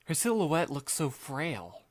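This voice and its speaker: A man playing a sarcastic, weary teenage boy who narrates in a deadpan voice. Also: fairly high pitch, slow, dry recording, heavily acted. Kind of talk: first-person audiobook narration.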